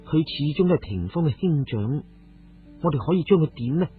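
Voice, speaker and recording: A man voicing a clever, thoughtful noblewoman in a radio story, soft and reflective in manner. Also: fairly high pitch, expressive, a little fast, breathy edge. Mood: troubled. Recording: phone-like, muffled audio with music.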